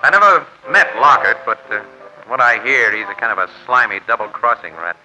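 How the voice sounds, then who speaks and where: An actor playing a brash, wisecracking crime photographer in 1940s radio style, slangy, wry and blunt. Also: male voice, medium pitch, animated, brisk, close to an everyday voice. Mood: wry, cynical. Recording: muffled old radio broadcast, music behind.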